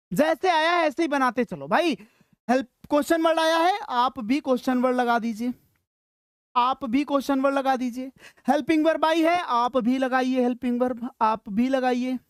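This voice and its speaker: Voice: high voice, no room sound, studio quality. A teacher gives a live grammar lesson to school students, asking questions, answering them and repeating key phrases.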